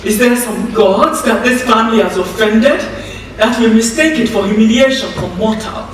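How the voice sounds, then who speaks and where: Distant, echoing stage recording. Actress as proud Nigerian wife in grief, dignified and declamatory. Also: female voice, fairly high pitch, natural voice. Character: proud, grieving wife of a jailed politician. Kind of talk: theatrical stage acting. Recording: very echoey stage, far mic, faint noise.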